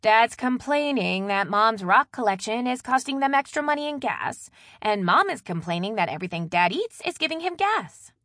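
Woman acting a loud, high-pitched exasperated sister. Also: very animated, normal speed, no echo, clean studio sound. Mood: chatty, animated. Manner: loud, emphatic, complaining. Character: exasperated younger sister on the phone, loud and bossy. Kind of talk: audiobook character dialogue.

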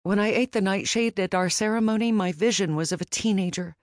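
A woman's voice: mid-range voice, brisk, no room sound, clean studio sound, pitched above the performer's own voice, close to an everyday voice. A woman voicing a stubborn, fiery young queen who talks with defiant emotion.

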